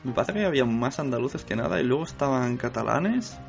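A man's voice: medium pitch, animated, brisk, vocal fry, faint music behind. A man from Spain hosting a casual podcast round-table, laughing often and making joking asides. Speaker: podcast host.

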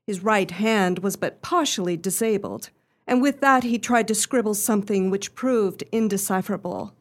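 A woman playing an earnest, genteel young lady in a radio play, telling her story in formal, measured phrases. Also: mid-range voice, natural voice. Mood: earnest, grave.

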